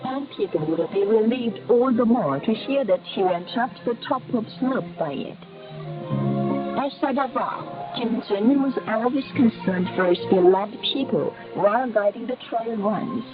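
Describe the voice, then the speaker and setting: A woman's voice: on the high side, normal speed. A woman radio announcer reading scripted state broadcast narration in a formal, reverent manner over shortwave radio. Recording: narrowband shortwave radio, muffled, music behind. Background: music.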